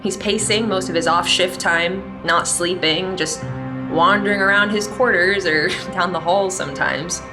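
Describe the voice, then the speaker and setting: A woman's voice: fairly low pitch, unhurried, poor audio. A woman playing a sardonic, casual cyber-security specialist recording a personal log in a sci-fi audio drama. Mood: amused, puzzled. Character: wry, sharp female cyber-security specialist keeping a log. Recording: music bed under the voice.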